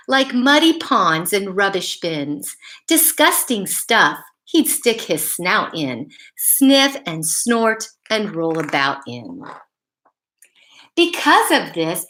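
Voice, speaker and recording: A woman's voice: medium pitch, fairly bright tone. A woman reading bedtime stories and chatting to young children, often putting simple questions to them. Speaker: school story-time host reading to children. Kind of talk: read-aloud bedtime storytelling and chat to children.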